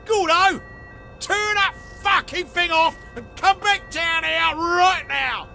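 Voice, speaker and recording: An actor playing a foul-mouthed, violent-tempered bully in a video game, shouting threats. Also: male voice, very high-pitched, slow pace, vocal fry, faint music behind, exaggerated voice. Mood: furious.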